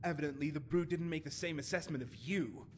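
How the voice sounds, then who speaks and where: A man's voice: on the high side, brisk, a little mellow, a little hiss or hum, natural voice. A man playing a haughty, prickly poet and bard in a fantasy game, speaking in a florid, pompous, scornful way. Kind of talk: scripted fantasy game dialogue.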